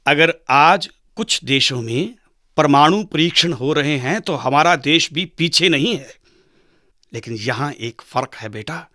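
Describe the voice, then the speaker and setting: Man acting a slow-spoken, earnest father. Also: a little high, sharp tone, no echo, clean studio sound, heavily acted. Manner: measured, didactic, dramatic pauses. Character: patient, earnest father teaching his son history. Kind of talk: Hindi radio drama acting.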